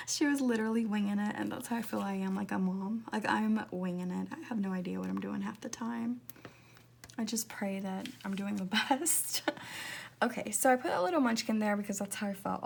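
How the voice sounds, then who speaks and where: A woman in her twenties chatting casually in a rambling, confiding way, laughing often and slipping into soft whispers as she talks through decorating her planner. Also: medium pitch, creaky, slight background noise. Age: 20s. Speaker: planner vlogger.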